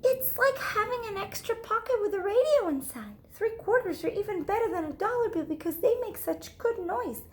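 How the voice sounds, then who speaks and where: A woman voicing a dramatic, excitable little mouse schoolgirl in a children's storybook, with an emphatic, theatrical delivery. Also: very high voice, moderate pace, hardly any pauses, slight background noise, voice pushed up. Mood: excited. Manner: emphatic, theatrical, repeats words intensely. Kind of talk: read-aloud children's storybook. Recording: echoey room.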